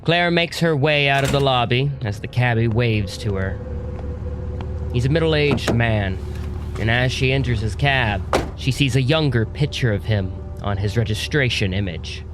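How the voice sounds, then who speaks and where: A man narrating a scripted audio drama in a measured, descriptive way. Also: mid-range voice, over music.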